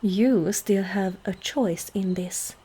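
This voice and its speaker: Woman acting as a demon queen, husky, dark soft tone, slow and commanding, seductive, whispery ASMR fantasy roleplay. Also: mid-range voice. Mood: composed, reassuring. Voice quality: breathy, husky. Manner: slow, deliberate, formal, often dropping into whispers.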